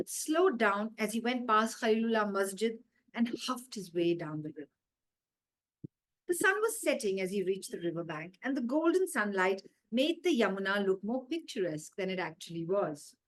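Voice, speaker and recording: A woman with an Indian accent reads a children's story aloud. Her voice is a little high, and her narration is expressive and clearly meant for young listeners. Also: poor audio. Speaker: author reading her own story.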